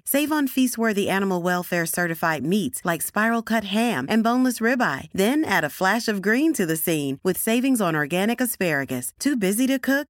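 A woman's voice: medium pitch, no echo, studio quality. A woman announcer delivering a scripted promotional advertisement.

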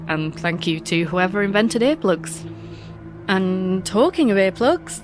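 A woman hosts her podcast, telling stories in a wry, self-mocking way, her nose stuffed up from a recent illness. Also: on the low side. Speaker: podcast host. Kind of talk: scripted podcast monologue.

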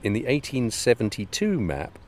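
A man's voice: mid-range voice, wildly swooping pitch, normal speed. A man co-hosting a podcast in casual chat, wry and teasing, sometimes addressing the listeners directly. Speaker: podcast co-host.

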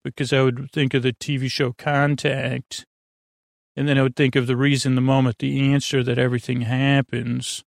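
Rambling friendly man with a gravelly voice. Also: mid-range voice, level tone, moderate pace, dry recording, studio quality. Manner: meandering, self-interrupting, friendly rambling. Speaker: podcast host. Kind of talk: rambling bedtime podcast intro.

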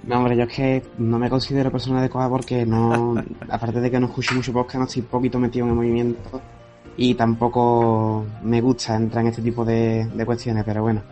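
A man with a mellow, warm voice talks on a casual podcast panel. He hesitates and repeats words as he searches for what to say. The audio is slightly muffled, with faint music underneath. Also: mid-range voice, completely flat delivery, brisk. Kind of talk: casual roundtable chat.

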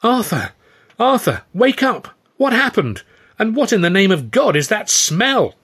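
Man putting on an agitated, high-pitched fretful friend. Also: big pitch swings, unhurried, fairly bright tone, slightly rough, heavily acted. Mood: alarmed. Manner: urgent, exclaiming, fretful.

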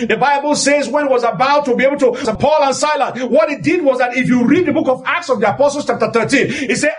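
A man preaching a sermon in an emphatic, exhorting way, often raising his voice and repeating phrases for rhetorical effect. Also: extremely high pitch.

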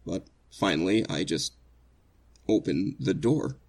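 A man playing an earnest, anxious condemned prisoner in a 1940s radio drama, speaking a little haltingly. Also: low-pitched, lively intonation, vocal fry, darkish tone, slightly rough, full character voice. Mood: earnest, troubled.

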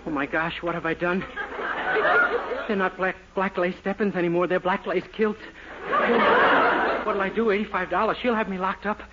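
A man's voice: high-pitched, steady tone, moderate pace, long pauses, a loud crowd, lightly acted. An actor playing a naive, easily panicked young man in a 1940s radio sitcom, flustered and boyish. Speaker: lead comic character. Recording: dull old radio broadcast, loud hiss.

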